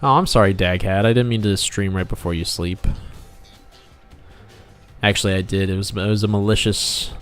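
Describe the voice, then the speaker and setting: A man's voice: on the low side, steady tone, normal speed, pauses often, a bit bright, clear, resonant tone. A man hosting a casual livestream while he draws, bantering and joking with viewers as a game developer. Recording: music playing behind the voice. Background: background music.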